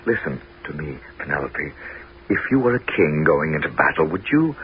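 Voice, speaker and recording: A crafty, heroic king with a very deep voice that rises and falls dramatically. He declaims like a stage actor in an old radio play. The broadcast sound is dull, with faint hiss. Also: big pitch swings, moderate pace. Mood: scheming. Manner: theatrical, declamatory. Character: Ulysses, crafty heroic king, feigning madness. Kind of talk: 1970s radio drama acting.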